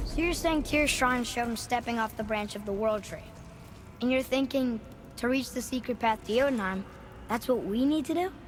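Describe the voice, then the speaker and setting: A man's voice: very high voice, moderate pace. A young male actor playing an earnest, curious, hopeful boy in a video game. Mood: wary, questioning. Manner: eager, inquisitive, plain-spoken. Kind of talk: video game character acting. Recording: clear background noise with clicks.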